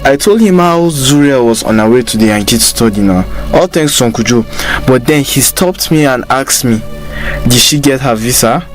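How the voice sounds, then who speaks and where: A man's voice: medium pitch, expressive, normal speed, soft background music, close to an everyday voice. Actor as earnest, worried young Nigerian man. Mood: uneasy. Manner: earnest, emphatic, repeats himself. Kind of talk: radio drama acting.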